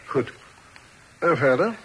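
An actor playing an authoritative older father and magistrate in a radio play, speaking in a formal, measured, commanding way. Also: mid-range voice, lively intonation, a bit bright. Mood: stern, insistent. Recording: faintly noisy old radio recording.

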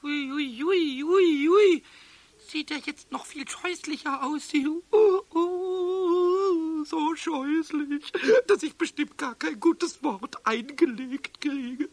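An actor voicing a cheeky, excitable, childlike little kobold with a high, squeaky voice, stammering and repeating words in a children's radio play. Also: male voice, slow pace, no room sound, full character voice. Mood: tearful, despairing. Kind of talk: 1970s German children's radio play acting.

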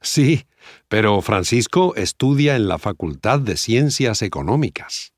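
A man acting a friendly conversational part in a scripted language-course dialogue, enunciating clearly. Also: lowish voice, expressive, measured pace, dry recording, studio quality.

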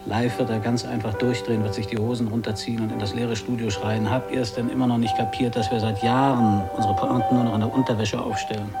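A man's voice: lowish voice, creaky. An actor playing a weary, cynical male gag writer in a naturalistic radio drama, speaking in a dry, terse, sardonic way. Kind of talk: 1980s German radio drama acting, naturalistic.

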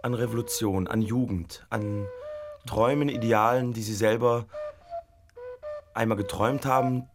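A man's voice: on the low side, fairly even intonation, normal speed, long pauses, noisy background. A man hosting and interviewing in an earnest, probing way, searching for his words as he improvises. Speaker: host and interviewer of a radio play. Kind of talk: halting, improvised interviewing and presenting. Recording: loud music over the voice. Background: loud music.

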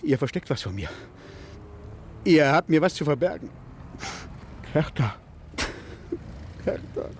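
Ein Schauspieler spielt in einem Krimihörspiel der 1950er einen misstrauischen, von Schuld geplagten Mann, der grüblerisch und stockend spricht. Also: male voice, a little high, lively intonation, slow, pauses often, a little hiss or hum. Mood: suspicious, agitated. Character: distraught, suspicious, guilt-ridden man searching for his fiancée. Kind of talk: 1950s German radio crime drama acting.

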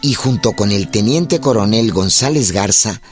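A man with a Mexican accent narrating a historical radio drama in a solemn, deliberate manner. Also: on the low side, animated, soft background music.

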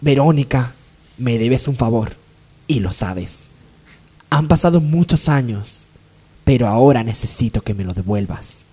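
A woman's voice: a bass voice, lively intonation, measured pace, long pauses, mellow, slightly rough, full character voice. A woman playing a haughty, scheming lady of the hacienda, imperious and melodramatic, in a parody radio soap. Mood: menacing.

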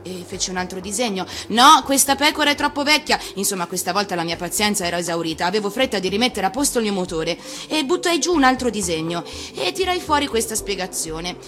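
A woman actor reading a storybook for radio, expressive and theatrical, with deliberate phrasing. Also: mid-range voice, faint music behind. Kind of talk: expressive scripted storybook narration.

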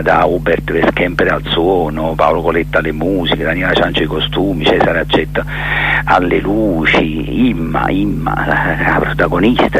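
A man giving a reflective radio interview as a theatre director, halting and emphatic, repeating words as he searches for terms. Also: deep voice, gravelly, a bit bright, a little hiss or hum, rough recording.

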